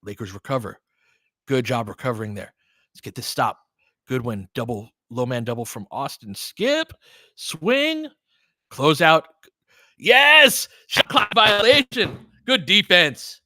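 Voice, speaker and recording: Bright-voiced man calling basketball like a fan. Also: mid-range voice, lively intonation, measured pace, no room sound, clean studio sound. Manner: partisan fan calling plays in short clipped phrases, explaining conversationally. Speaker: host and play-by-play commentator. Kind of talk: live audio basketball commentary with casual tactical analysis.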